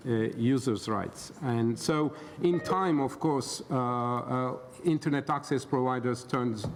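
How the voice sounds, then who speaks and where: Middle-aged male expert from Turkey, halting delivery, recorded in a reverberant hall with faint noise behind. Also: medium pitch. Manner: hesitant, many filler pauses. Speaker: expert panel speaker. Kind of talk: conference talk. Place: conference hall.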